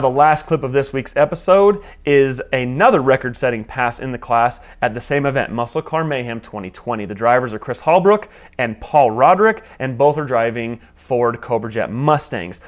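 A man in his thirties with an American accent, casually presenting a show as host from a small garage studio. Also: medium pitch. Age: 30s. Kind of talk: casual scripted presenting to camera.

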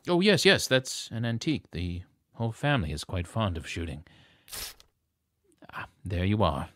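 A man's voice: fairly low pitch, sing-song, moderate pace, fairly bright tone, no room sound. A man playing a weak-willed, flustered wealthy husband who talks hesitantly and stammers in an audio drama. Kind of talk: detective audio drama acting.

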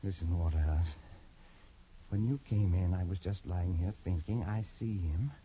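A man's voice: low voice, normal speed, slight background noise, natural voice. An actor playing a tormented, cursed Englishman near madness in an old radio drama, agitated and feverish. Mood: haunted, resigned. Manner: agitated, feverish, swinging from brooding to frantic. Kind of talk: 1940s radio drama acting. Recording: muffled old radio recording.

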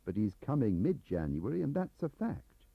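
A man's voice: mid-range voice, big pitch swings, normal speed, vocal fry, husky, no echo, pitched above the performer's own voice, heavily acted. A man voicing an aggrieved, lonely middle-aged English clerk who rambles defensively, in an audiobook on a dull cassette recording.

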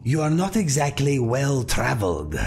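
A man voicing a feline game companion, wry and honorable, with formal phrasing and deadpan humour. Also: medium pitch, moderate pace, a little hiss or hum. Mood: wry, casual. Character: feline Khajiit adventurer, wry, guilt-ridden, honorable companion. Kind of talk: video game character dialogue.

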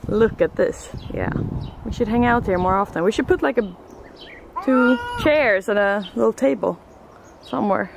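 A woman's voice: mid-range voice, normal speed, creaky, noisy background. A young woman with a Swedish accent chatting casually to her handheld camera as a vlogger. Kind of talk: casual vlog chat.

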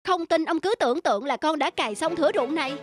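Cartoon farmhand, young lad voiced by a woman, discontented and sly, very high pitch, music underneath. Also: quick pace, close to an everyday voice. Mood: sly, mock-polite. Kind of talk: cartoon folk-tale voice acting. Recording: music behind the voice.

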